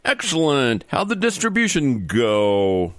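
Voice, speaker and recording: A man's voice: mid-range voice, wildly swooping pitch, unhurried, a bit bright, no room sound. A man playing a pompous, crooked senator, wildly swooping delivery. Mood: gloating. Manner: pompous, boastful. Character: smug, corrupt veteran male senator, scheming and self-satisfied. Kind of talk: comedy radio theater acting.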